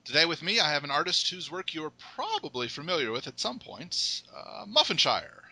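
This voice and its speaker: A man's voice: a little high, sing-song, moderate pace, bright, forceful tone, no echo, low-quality recording. A man hosting a podcast interview, casual and playful, slipping jokes and silly asides into his questions. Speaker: podcast host.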